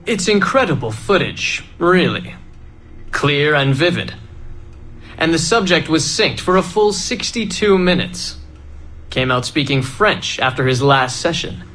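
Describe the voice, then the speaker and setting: A self-assured, guarded project director in a science-themed video game. He talks slowly in a high voice with swooping intonation. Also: animated, a bit bright. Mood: self-satisfied.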